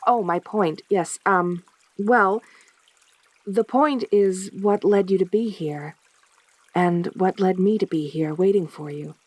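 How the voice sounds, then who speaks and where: A woman playing a lonely, possessive centaur girl in a soft-spoken audio roleplay, with a halting, stammering delivery. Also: medium pitch, dry recording. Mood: earnest, yearning.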